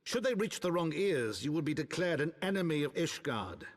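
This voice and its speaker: Casting: weary patrician father, fantasy game, grave, admonishing, expressive pitch swings, long reverb. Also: normal speed. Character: stern, weary noble father, older lord. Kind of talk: formal archaic fantasy game dialogue.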